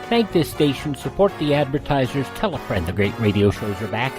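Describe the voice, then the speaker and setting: A man's voice: medium pitch, very animated, fairly quick, music in the background. An American man hosting a radio show, talking casually and directly to listeners.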